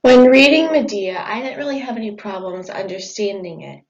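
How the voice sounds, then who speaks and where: A woman's voice: mid-range voice, animated, normal speed. A young woman presenting a school report in a plain, read-aloud manner. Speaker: student presenter. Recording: dull lo-fi audio.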